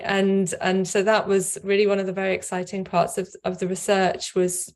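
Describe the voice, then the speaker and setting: A woman's voice: medium pitch, darkish tone. A middle-aged woman speaking on a video call from a home office, thoughtful, repeating words as she gathers her ideas. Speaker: author and scholar. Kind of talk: casual panel talk.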